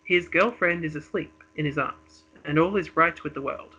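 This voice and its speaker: Bright, high-voiced man, dull lo-fi recording. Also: moderate pace, slightly rough. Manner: giggly, breaks off to joke and improvise. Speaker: co-host reading a comedy radio play script. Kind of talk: casual script read-through with banter.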